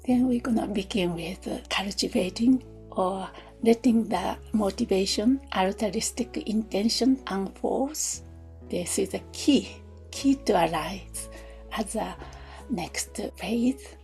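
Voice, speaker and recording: A woman leading a guided meditation in a soft, gentle, hushed way, often near a whisper, with long pauses. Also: medium pitch. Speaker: meditation teacher. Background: music.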